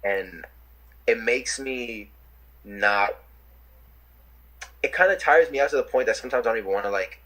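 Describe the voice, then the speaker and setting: Young new guy on a video call, kinda husky, lots of "like" and restarts. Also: medium pitch, normal speed, pauses often, bright, forceful tone, poor audio. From New York City. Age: young adult. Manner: rambling, lots of fillers and restarts. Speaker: interviewee, rapper. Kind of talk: casual chat.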